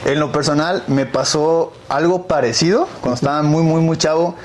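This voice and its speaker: A young man hosts a casual interview. His voice sits a little high, and he talks haltingly, repeating words as he builds a question. There is noticeable ambient noise behind him. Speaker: podcast host, interviewer.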